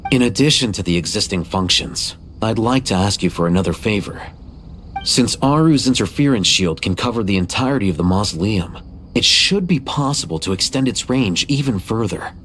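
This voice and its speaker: A man's voice: lowish voice, animated, moderate pace, fairly bright tone, a little hiss or hum. A man voicing a cool, terse, calculating young man in a video game, composed and dry. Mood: composed, persuasive.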